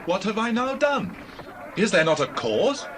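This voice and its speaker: Man voicing a high-pitched, bold shepherd youth. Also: very animated, unhurried, noisy background. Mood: indignant. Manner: earnest, declamatory. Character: bold, devout young shepherd lad defying a giant. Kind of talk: dramatized scripture reading.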